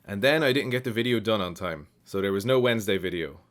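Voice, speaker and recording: An Irish man with a lowish voice hosts a casual comment-response video. He talks in a reflective, explanatory manner, reading a remark and then giving his own answer. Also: fast. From Ireland. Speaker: language channel host.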